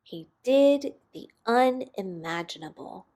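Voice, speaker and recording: A woman reading a children's picture book aloud expressively, with dramatic pauses and emphasis on key words. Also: on the high side, expressive, a little mellow. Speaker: storybook reader.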